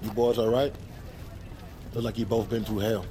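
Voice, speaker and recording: An actor playing a stern, sceptical middle-aged police captain with a folksy manner in an audio drama. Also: male voice, on the low side, flat delivery, normal speed, mellow, a little hiss or hum, lightly acted. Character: gruffly sceptical male police captain, older authority figure.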